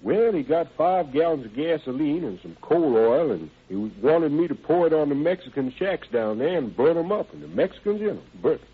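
Through a narrow, vintage radio sound comes a deferential young ex-con handyman. His husky voice swings up and down as he hesitates and rambles through folksy, slippery explanations. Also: on the high side, animated, normal speed, few pauses. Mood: nervous, explaining. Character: evasive young ex-convict odd-job man, folksy and deferential. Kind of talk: old-time radio crime drama acting. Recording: band-limited vintage radio recording.

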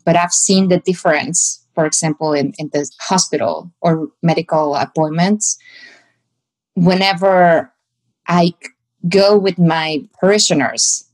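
A woman's voice: fairly low pitch, steady tone, measured pace, darkish tone, poor audio. A woman pastor from Mexico with a Mexican Spanish accent, answering interview questions in a reflective way and sometimes searching for words.

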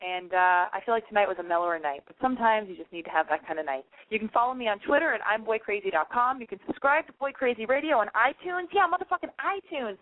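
A woman's voice: on the high side, lively intonation, quick pace, hardly any pauses. Blunt, gushing radio host woman. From German family. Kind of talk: late-night call-in advice show. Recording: tinny phone-like audio.

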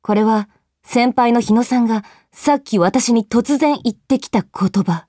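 A woman's voice: a little high, unhurried, no room sound, clean studio sound, lightly acted. An actress playing an exasperated, flustered 24-year-old office worker who narrates her own troubles in a radio drama. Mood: matter-of-fact, weary. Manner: animated, flustered, grumbling. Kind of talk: Japanese radio drama monologue, anime-like. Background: loud music.

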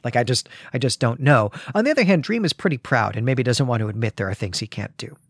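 A man hosting a literary discussion podcast, talking in a thoughtful, measured way and often rephrasing himself as he goes. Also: mid-range voice, expressive, no room sound, clean studio sound. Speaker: podcast host. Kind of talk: literary discussion with retelling and reading aloud.

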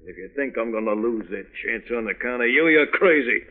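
An actor playing a greedy, bullying male underworld boss with gruff, slangy tough-guy talk, in 1940s radio crime drama style. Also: mid-range voice, expressive, a little fast, lightly acted. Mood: menacing. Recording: tinny old radio recording.

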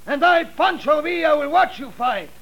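A man's voice: very high voice, expressive, normal speed, creaky, a bit bright, heavily acted. A man playing a boisterous, commanding Mexican bandit chief with swagger, in 1940s radio drama style. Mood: commanding, amused. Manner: swaggering, theatrical. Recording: noisy old radio broadcast.

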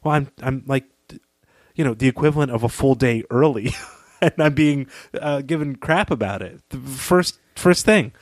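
A man with a medium-pitched voice gives off-the-cuff commentary as a podcast co-host. He is opinionated and leans emphatically on his points. The recording is close and very dry. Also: moderate pace, no room sound. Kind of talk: conversational commentary.

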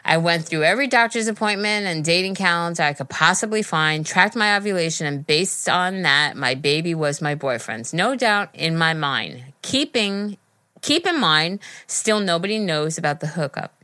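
Bright, low-pitched American woman podcaster. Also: animated, moderate pace, no room sound, studio quality. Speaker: podcast co-host. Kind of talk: casual podcast chat, reading listener letters aloud.

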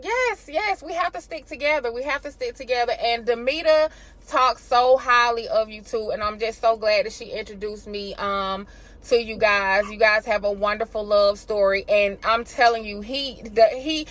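A woman hosting a podcast in a casual, effusive, informal way, quick to laugh. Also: a little high, a bit bright. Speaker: podcast host.

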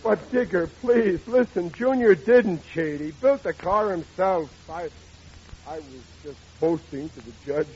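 A man's voice: high voice, animated, unhurried, a little mellow. An actor playing a blustering, self-pitying, rather dim working-class father in an old radio comedy. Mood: fretful. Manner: whining, indignant, colloquial. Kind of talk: 1940s radio comedy acting. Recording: dull, noisy old radio recording.

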